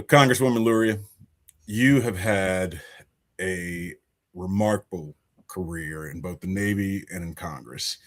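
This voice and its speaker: A man from Alabama hosting a political podcast, talking in an emphatic, conversational way. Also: deep voice. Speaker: podcast host.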